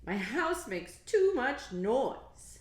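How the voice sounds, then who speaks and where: A woman voicing an exasperated, complaining old man in a children's storybook, with drawn-out words. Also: a little high, big pitch swings, reverberant, voice pushed up, exaggerated voice. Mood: puzzled, complaining.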